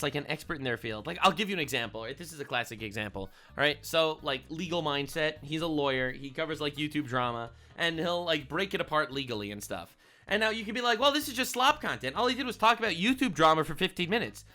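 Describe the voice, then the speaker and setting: A man streaming casual reaction commentary, sarcastic and mocking, often ranting loudly. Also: fairly high pitch.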